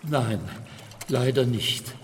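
An actor playing a lonely, friendly gentleman with a candy garden in a children's radio play, talking slowly and a little wistfully. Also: medium pitch, slight background noise. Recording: reverberant hall with music underneath.

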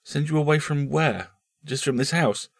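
A man's voice: fairly high pitch, normal speed, creaky, no room sound, studio quality, voice pushed up. A man voicing a tired, anxious mother who speaks firmly to her son. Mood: stern. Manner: firm yet tired, worried. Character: weary, anxious, stern mother.

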